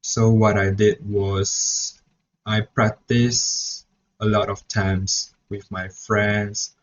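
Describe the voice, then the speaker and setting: Slow, hesitant young man. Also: fairly low pitch, flat delivery, slight background noise. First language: Mandarin. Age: young adult. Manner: hesitant, frequent "so yeah" restarts. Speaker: student teacher. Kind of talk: reflection vlog. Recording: dull lo-fi recording.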